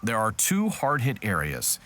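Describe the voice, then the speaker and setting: Game soldier: deep, direct, gruff veteran keen for a fight, military delivery. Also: male voice, huge pitch swings, measured pace, a bit bright, a little hiss or hum, heavily acted. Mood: matter-of-fact. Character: blunt, deep-voiced male soldier, battle-eager veteran. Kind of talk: video game voice acting.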